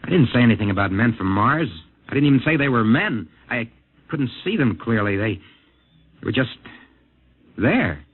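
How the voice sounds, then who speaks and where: An actor playing a desperate, haunted rocket test pilot in a mid-century radio drama, speaking in an urgent, halting, earnest way. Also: male voice, mid-range voice, sing-song, slightly rough. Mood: shaken, insistent. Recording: tinny narrow-band vintage radio broadcast, music.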